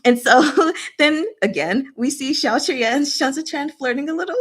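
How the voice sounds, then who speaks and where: A woman's voice: high voice, animated, moderate pace, a bit bright. A woman casually recapping a novel in a book discussion, with a chatty, playful, giggly manner and paraphrased dialogue acted out in slang.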